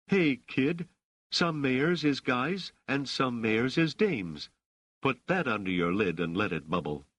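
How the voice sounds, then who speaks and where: A man acts out a self-assured woman ruler of middle age in a novel reading. The pitch is lifted well above a usual male voice and moves expressively. The manner is gracious, poised and used to command. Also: sing-song, a bit bright, no echo, full character voice. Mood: playful, slangy. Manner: poised, formal, measured phrasing.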